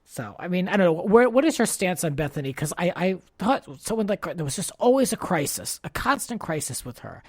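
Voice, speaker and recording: A man's voice: sits high, big pitch swings, fairly quick, few pauses, no echo, clean studio sound. A man hosting a podcast, chatting casually about pop culture in an opinionated, talkative way. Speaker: podcast host.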